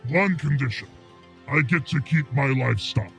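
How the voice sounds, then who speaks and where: A man voicing a simple, stubborn, hungry troll in a tabletop game, with a gravelly, husky voice and halting broken grammar. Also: medium pitch, animated, a bit bright, soft background music. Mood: firm bargaining.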